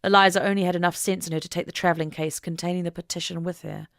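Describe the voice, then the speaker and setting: A woman's voice: fairly low pitch, steady tone, racing, gravelly. A woman narrating an audiobook story in a dramatic, scripted reading style.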